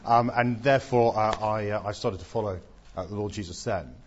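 A man's voice: fairly low pitch, fairly quick, lo-fi sound, slight background noise. A middle-aged Englishman giving his testimony in an onstage interview, with dry self-deprecating humour and plenty of ums. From England.

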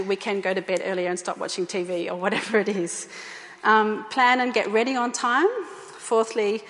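A woman giving an informal talk to a church group, speaking conversationally with fillers and repeated phrases. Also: a little high. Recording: echoey hall.

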